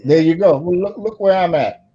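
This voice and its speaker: A man's voice: sits high, moderate pace, dark tone, slightly rough. A man with an American accent joking in a folksy way during a casual online group chat. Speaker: chat participant. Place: remote online call. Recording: dull online call audio.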